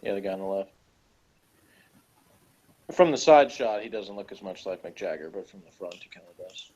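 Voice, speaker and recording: A man's voice: low voice, expressive, normal speed, darkish tone, husky, lo-fi sound. A man chatting casually and critically, giving blunt opinions as a reviewer of a TV episode.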